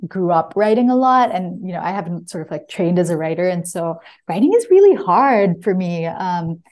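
A woman's voice: on the low side, big pitch swings, moderate pace, a little mellow. A woman author answering interview questions in a reflective, hesitant way, searching for her words as she goes. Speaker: debut memoir author, guest. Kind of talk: reflective interview answers, with a short reading at the start.

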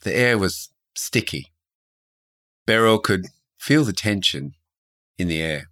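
A man's voice: low-pitched, animated, takes it slowly, pushed and bright. A man hosting a podcast in a casual, playful way, chatting and improvising stories. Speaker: podcast host. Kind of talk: casual chat and improvised storytelling.

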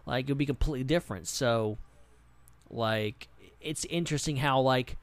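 A man with a medium-pitched voice chats informally as a podcast co-host. He rambles in loose, filler-heavy phrases. The recording is dry and free of room sound. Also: normal speed, no echo. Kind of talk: casual pop-culture discussion.

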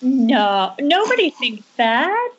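Casting: earnest, worried young woman in horror played by a woman at a table read, high voice, muffled noisy call. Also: big pitch swings, takes it slowly, full character voice. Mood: gentle, earnest. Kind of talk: casual amateur table read of a screenplay. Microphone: internet voice call.

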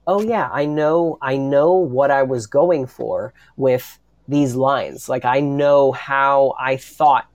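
A man with a mid-pitched voice in a dry recording. He speaks earnestly and reflectively, feeling his way through phrases and repeating words, as a writer and actor on a panel would. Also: slow, darkish tone, dry recording, muffled recording. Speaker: writer and voice actor on an audio drama.

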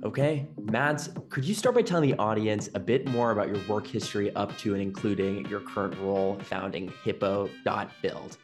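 A man hosting a podcast, reading a measured introduction and then asking his guest questions. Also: fairly low pitch, fairly even intonation. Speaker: podcast host. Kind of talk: scripted intro and interview questions. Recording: music playing under the intro.

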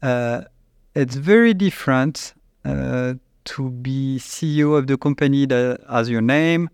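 A man with a French accent, a company CEO, answering interview questions in a halting, searching way with frequent restarts. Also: medium pitch, darkish tone, clean tone, no echo, studio quality. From France. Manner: halting, restarts often, searches for English words.

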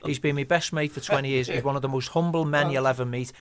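A man's voice: mid-range voice, steady tone, fast talker, noisy background. A man with a Liverpool Scouse accent, telling anecdotes as a guest writer and director in a radio interview. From Liverpool, England.

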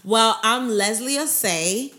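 A woman's voice: a little high, slow pace, bright, forceful tone. A woman from Brooklyn, co-hosting a faith talk show in a chatty way and often saying "by God's grace". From Brooklyn, New York. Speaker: co-host of a ministry show. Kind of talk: casual conversational talk.

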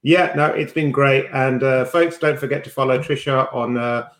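A man hosts a podcast in a casual, chatty way, with a wry streak. His mid-pitched voice rings in an echoey room. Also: fairly even intonation, normal speed, fairly bright tone. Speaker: podcast host.

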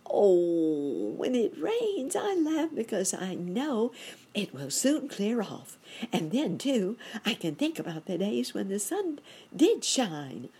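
A woman's voice: mid-range voice, very animated, takes it slowly, dark tone, husky, voice pushed up, full character voice. A woman voicing a jolly, good-natured little male cricket for a storybook, bubbly and prone to laughter. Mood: cheerful.

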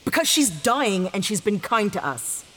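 An actress playing a guarded, suspicious adventurer with a caring side in an audio drama. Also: female voice, mid-range voice, very animated, normal speed, natural voice. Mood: wary. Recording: faint hiss behind the voice.

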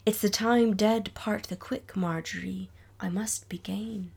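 A woman voicing a dead young male lover in an old ballad, with a put-on Scottish accent and solemn, deliberate, archaic delivery. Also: mid-range voice, steady tone, vocal fry, a little mellow, slightly rough. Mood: grave warning. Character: ghost of young William, a doomed male lover. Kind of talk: dramatic recital of an old ballad.

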